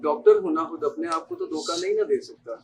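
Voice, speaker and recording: A young man student acting an earnest, solicitous gentleman in an amateur domestic stage drama. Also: a little high, wildly swooping pitch, fairly quick, gravelly, exaggerated voice. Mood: troubled, earnest. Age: young adult. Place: university seminar hall stage.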